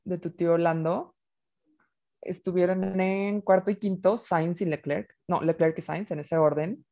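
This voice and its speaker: A woman's voice: on the low side, fairly even intonation, measured pace, mellow. A young woman, co-hosting a podcast in casual chat with playful, wry asides. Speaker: podcast co-host. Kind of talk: casual chat about racing.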